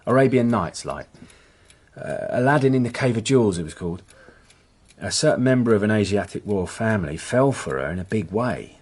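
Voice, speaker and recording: A man's voice: fairly low pitch, expressive, fairly quick, darkish tone, breathy edge. A man playing a nervous petty crook and hapless henchman in a radio drama, with an English accent and a hesitant, self-excusing manner. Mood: storytelling. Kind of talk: British radio drama acting.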